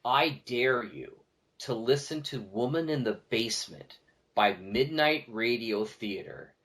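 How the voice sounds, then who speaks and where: A man talking casually as a podcast guest, a teacher who tells stories in an animated way and acts out what people say. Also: medium pitch, slow. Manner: animated storyteller, acting out quoted remarks. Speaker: podcast guest, teacher and audio drama producer. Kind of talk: casual conversational interview talk.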